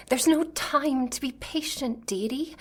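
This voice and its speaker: A woman playing a desperate, righteous mage in an ASMR roleplay, earnest and anguished, with a breathy voice. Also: high voice, takes it slowly, a little mellow. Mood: distraught, pleading. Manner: earnest, anguished, sighing, dramatic. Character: desperate, righteous mage pleading to rescue a captured friend.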